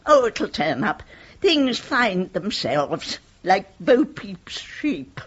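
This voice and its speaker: A woman's voice: medium pitch, sing-song, extremely slow, slightly rough, exaggerated voice. An actress playing a genteel, wistful elderly British mother in a radio play. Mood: genteel, distracted. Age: older. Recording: dull, muffled radio drama recording.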